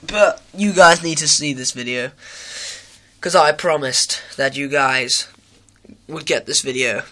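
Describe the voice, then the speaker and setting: A man's voice: a little high, a little slow, pushed and bright. A teenage boy with a cold and sore throat, casually showing off a model build, rambling with many fillers and self-corrections. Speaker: hobby video maker. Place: indoor room at home, filming with a handheld camera.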